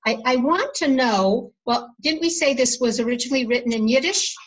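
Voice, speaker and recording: Woman student with a high voice, hesitant class question, trips and restarts. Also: animated, a little fast, slightly rough, rough recording. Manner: hesitant, restarting her sentences.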